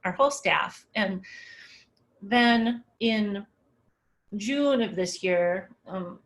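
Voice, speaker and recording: A woman's voice: mid-range voice, a bit bright, slight background noise. Middle-aged woman talking thru her slides, chatty, lots of ums, medium voice. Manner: casual, conversational, many "um" fillers. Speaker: webinar presenter. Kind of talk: presentation over slides.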